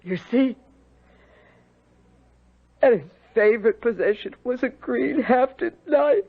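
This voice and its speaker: An actress playing a stern, bitter older housekeeper in a radio drama, with grave, emphatic delivery. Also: female voice, a little high, expressive, takes it slowly, husky, full character voice. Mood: bitter, accusing. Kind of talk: 1970s radio drama acting. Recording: dull vintage radio recording.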